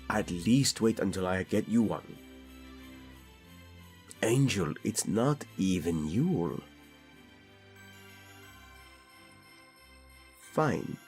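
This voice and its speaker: Man acting as a low-voiced, flirtatious prince. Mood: flustered. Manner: intimate, teasing, expressive. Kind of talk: romantic audio roleplay acting.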